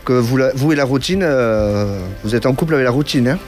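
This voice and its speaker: A man's voice: mid-range voice, brisk, a little mellow, faint music behind. Hesitant man hosting a call-in show. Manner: hesitant, many fillers and restarts, reassuring. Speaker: radio clairvoyant host taking calls. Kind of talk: call-in consultation.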